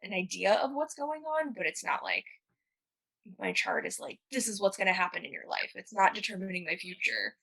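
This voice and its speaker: A woman's voice: mid-range voice, lively intonation, brisk, gravelly, a bit bright, low-quality recording. A young adult woman hosting a podcast, chatting casually, laughing often and swearing now and then. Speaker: podcast host. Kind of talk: casual chat.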